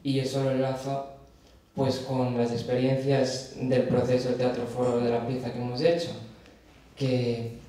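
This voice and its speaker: A man's voice: mid-range voice, level tone, measured pace, mellow. A man from Catalonia, a forum theatre participant, talking earnestly at an open forum. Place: theatre auditorium. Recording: echoey hall, voice from far off.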